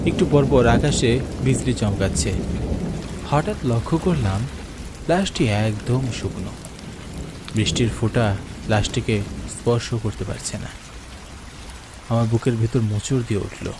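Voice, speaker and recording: A man narrates a horror tale in the first person with earnest, theatrical delivery. His voice sits at a middle pitch. Rain is clearly heard behind him throughout. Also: very noisy. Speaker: story narrator. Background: falling rain.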